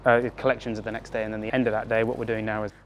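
A man's voice: lowish voice, level tone, rapid-fire, slight background noise. A man who supplies produce, explaining his work earnestly and step by step, with plenty of fillers. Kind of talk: explanatory interview.

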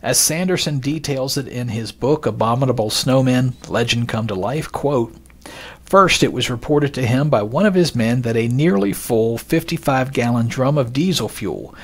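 Man narrating in a measured way. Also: mid-range voice, expressive. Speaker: podcast narrator. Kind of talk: scripted narration with read-out quotes.

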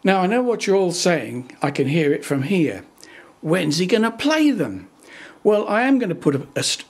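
An older man with a slightly husky voice walks through the details of his hi-fi speakers at an easy, chatty pace. He is plain-spoken and drily wry. The sound comes from a camera's own microphone in a living room at home. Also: medium pitch. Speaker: hobbyist reviewer. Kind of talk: casual technical explainer. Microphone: built-in camera microphone.

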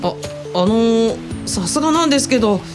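A woman's voice: a little high, lively intonation, normal speed, over music, lightly acted. A woman playing a polite, earnest and hesitant younger colleague in an audio drama. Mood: hesitant, apologetic. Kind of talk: Japanese audio drama dialogue.

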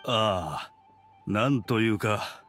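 A man voicing a kindly, considerate middle-aged uncle who runs a teahouse, speaking in a measured way in an anime game. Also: lowish voice, a bit bright, faint music behind. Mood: gentle.

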